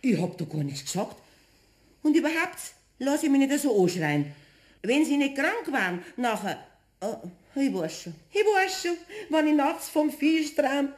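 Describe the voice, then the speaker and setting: An excitable Bavarian neighbour woman from a radio play. Her fairly high voice rises and falls a lot. She chatters and exclaims fretfully in a Bavarian accent. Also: normal speed. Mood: indignant. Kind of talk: German radio play acting.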